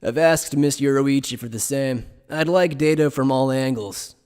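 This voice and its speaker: A man playing an evasive, playful scholar who explains things in a polite, measured, teasing way in an anime fan dub. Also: mid-range voice, a little mellow, clean tone, close to an everyday voice. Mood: measured, probing. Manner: polite, measured, teasing lecturer with coy understatement.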